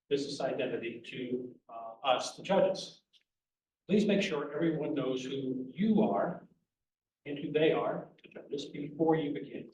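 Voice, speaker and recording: Man judge in an echoey distant room. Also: medium pitch. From United States. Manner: measured, hesitant with fillers. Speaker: competition judge. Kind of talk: reading rules and chatting. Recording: echoey room heard from afar, faint noise.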